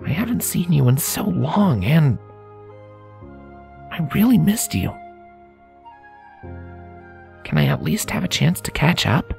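A woman voicing a friendly, excitable young male pony tinkerer in a storybook reading, with casual, earnest speech. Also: low-pitched, normal speed, vocal fry, faint music behind, pitched above the performer's own voice, full character voice. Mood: earnest, insistent. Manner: casual, earnest, hesitant with filler words.

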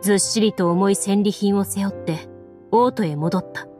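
A woman narrating a story as a game master in a measured, formal manner, like scripted game voice acting. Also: medium pitch. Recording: soft music under the voice. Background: background music.

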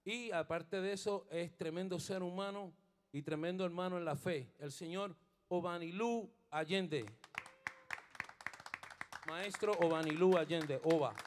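A middle-aged man from Rico, leading a band on a studio stage. He introduces the players into a stand microphone while playing bass. He mixes Spanish and English, pauses with fillers, and later turns fervent and preacher-like. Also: some chatter behind. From Puerto Rico. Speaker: bandleader and bassist. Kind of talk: onstage band introductions and spiritual encouragement.

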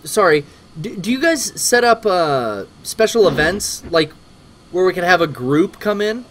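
A man's voice: high voice, expressive, measured pace, a bit bright, a little hiss or hum. A young man hosting a call-in stream with casual, mock-earnest banter. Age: young adult. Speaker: livestream host. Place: small cluttered office, indoors.

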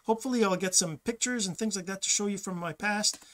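A man's voice: high voice, fast talker, fairly bright tone. Man, middle-aged, casual host monologue, rambling, self-correcting, desk webcam, small home office. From Quebec, Canada. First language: French. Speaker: podcast host. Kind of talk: casual monologue with screen share.

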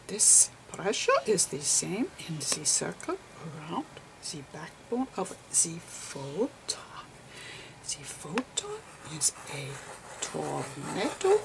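A woman voicing an eccentric, patient male foreign physicist in an ASMR roleplay, whispery, with halting non-native grammar. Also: deep voice, noisy background, exaggerated voice. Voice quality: whispery, breathy.

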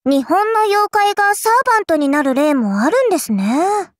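A woman's voice: very high-pitched, sing-song, normal speed, no room sound, studio quality. A woman voicing a small, cute, sly girl spirit in an anime game, speaking politely with coy, smug teasing. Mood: chatty, polite. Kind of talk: anime game character voice lines.

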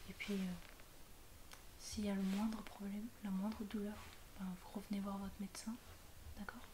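A young woman speaking softly and breathily, mostly in a whisper, in a gentle, reassuring ASMR medical roleplay as a surgeon. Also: mid-range voice, flat delivery, normal speed. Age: young adult. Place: small indoor room at home. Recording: noisy home recording with clicks.

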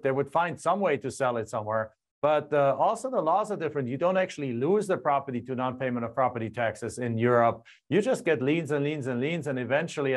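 A middle-aged man from Germany with a German accent, a guest telling his story animatedly in a casual interview, recorded on a lapel mic in a home studio. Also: mid-range voice. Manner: animated, gestures a lot, frequent restarts. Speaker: guest, land investor. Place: home studio with screen and map backdrop.

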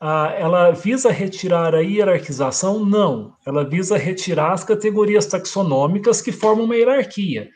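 High-voiced man lecturing on a video call. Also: normal speed, hardly any pauses. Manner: relaxed, thinking aloud with frequent tag questions. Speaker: guest lecturer. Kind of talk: casual explanatory talk on a video call. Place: home room, indoors.

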